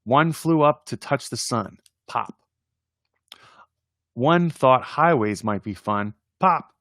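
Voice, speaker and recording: A man hosting a casual read-aloud of children's poems, playful and expressive in verse, with informal chatty asides. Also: mid-range voice, takes it slowly, no room sound, studio quality. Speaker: host reading poems aloud on a livestream.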